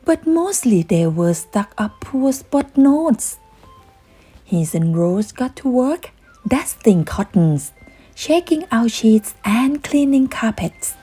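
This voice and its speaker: A woman reading a children's bedtime story aloud as narrator, in a dramatic, deliberate storytelling manner. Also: mid-range voice, mellow, husky.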